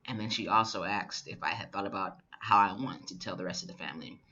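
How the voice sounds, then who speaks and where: A young man in his twenties telling a personal story to the camera in a relaxed, reflective way with many fillers. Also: low-pitched, fast. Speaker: vlogger. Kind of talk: casual personal vlog storytelling. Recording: dull lo-fi camera audio.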